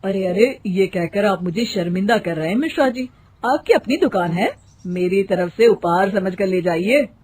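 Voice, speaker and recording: A woman playing a naive, expressive young shopkeeper. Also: medium pitch, moderate pace, breathy edge. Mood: jovial, generous. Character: naive, boastful, gullible young shopkeeper husband. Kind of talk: Hindi moral storybook character acting.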